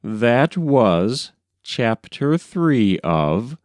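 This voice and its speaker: A man reading a literary story aloud as an audiobook narrator, in a measured, expressive storytelling manner. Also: medium pitch, no echo, clean studio sound.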